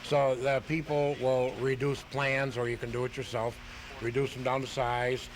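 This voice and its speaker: A man's voice: mid-range voice, little pitch movement, moderate pace. Matter-of-fact man on a noisy radio tape. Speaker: radio guest, model aircraft hobbyist. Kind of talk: casual explanatory interview answers.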